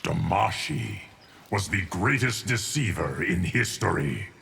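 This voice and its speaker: Game warrior-sage: low, creaky gravel, solemn, cryptic, measured delivery, faint noise behind. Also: male voice, lively intonation, a bit bright, exaggerated voice. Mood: solemn, stoic. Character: solemn, ancient male guardian of time, stoic warrior. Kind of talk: video game character acting.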